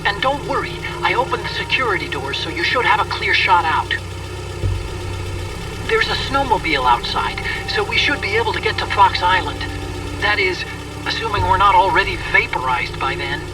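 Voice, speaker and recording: An actor playing an earnest, anxious, nerdy tech ally in a video game. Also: male voice, high voice, wildly swooping pitch, normal speed, far from the mic, noisy background, full character voice. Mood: reassuring, wry.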